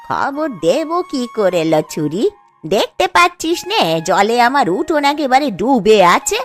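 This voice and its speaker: A woman's voice: high voice, big pitch swings, unhurried, faint music behind. A woman voicing an aggrieved, self-pitying old village grandmother in a cartoon story, complaining and reproachful.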